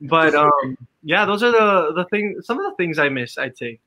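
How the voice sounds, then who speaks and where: Bright-voiced young Filipino man. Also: fairly high pitch, expressive, moderate pace, no room sound. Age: 20s. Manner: easygoing, mixes English with Tagalog, many fillers. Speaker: guest singer. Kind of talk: casual radio interview.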